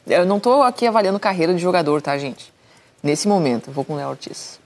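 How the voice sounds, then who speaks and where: A woman's voice: fairly low pitch, expressive, a little mellow. A woman with a Brazilian accent, a football pundit who gives her picks decisively. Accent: Brazilian Portuguese. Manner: decisive, quick.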